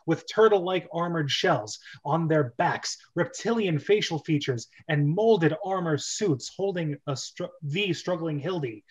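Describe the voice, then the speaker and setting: A man's voice: on the high side, dull audio. A man hosting a casual group script reading, chatty and joking, breaking his narration with asides. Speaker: host and script reader. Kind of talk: casual group chat and stage-direction reading.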